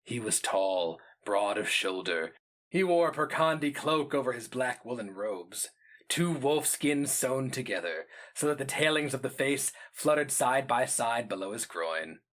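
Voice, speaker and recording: A man giving a grave, measured, theatrical reading of epic fantasy as the narrator. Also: mid-range voice, animated, a bit bright.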